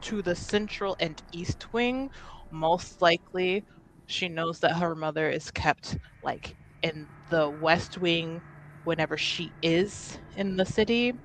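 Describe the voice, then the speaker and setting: A woman playing a tabletop game, thinking aloud in casual, halting, informal narration. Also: fairly low pitch, a little hiss or hum, low-quality recording.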